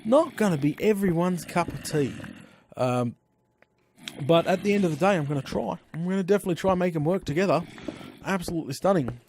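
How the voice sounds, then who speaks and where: An Australian man chatting casually during a live stream of his game, rambling through stories with lively slang exclamations. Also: sits high, fairly quick, slight background noise. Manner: chatty, animated storytelling with frequent slang exclamations. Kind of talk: rambling casual chat while playing.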